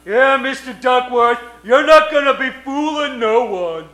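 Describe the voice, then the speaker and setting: A hulking, dim-witted thug in a comic radio play. The voice is extremely high for a man and very slow, with simple broken speech in a roomy space. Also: pushed and bright, exaggerated voice. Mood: dim, eager. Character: hulking, dim-witted, brutish male henchman. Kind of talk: comedic old-time radio play acting. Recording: roomy stage, faint music.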